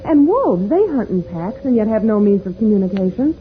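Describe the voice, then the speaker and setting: A woman's voice: mid-range voice, sing-song, a little fast, natural voice. An actress playing a worried but sensible young woman, earnest and questioning, in a vintage radio adventure serial. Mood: wondering. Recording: tinny old radio recording, faint noise.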